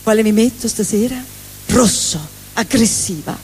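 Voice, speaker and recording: A woman's voice: fairly high pitch, moderate pace, dark tone, breathy edge. Woman comic, sarcastic stand-up, rattles off lists, live on stage. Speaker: comedian. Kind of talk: stand-up monologue. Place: stage at a live benefit evening.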